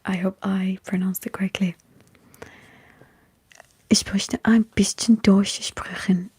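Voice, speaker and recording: Slow, very breathy whispering woman. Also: medium pitch, level tone, a little mellow. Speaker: ASMR streamer chatting with live viewers. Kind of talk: whispered ASMR livestream chat.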